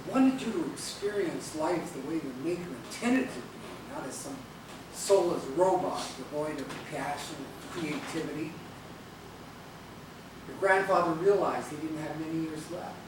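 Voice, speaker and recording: An actor playing a warm but gruff elderly mentor and bar keeper in a stage play. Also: male voice, a little high, moderate pace, close to an everyday voice. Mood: solemn. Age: older. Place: theatre stage, videotaped live from a distance. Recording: echoey noisy theatre hall, distant camera mic.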